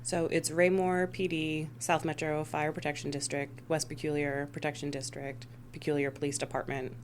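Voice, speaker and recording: Woman, interview guest, deep voice, conversational answers, dispatch supervisor, faint background hum. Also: level tone, normal speed. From United States (Missouri and Rhode Island).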